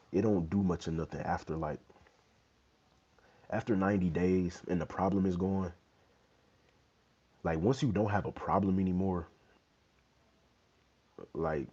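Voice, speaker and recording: Very deep-voiced laid-back young man. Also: warm tone, breathy edge. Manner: laid-back, loose slang, many "you know what I'm saying". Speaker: fitness livestream host. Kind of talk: casual livestream Q&A chat. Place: small bedroom indoors. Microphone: wired earbud mic, phone held low. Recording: dull lo-fi phone audio.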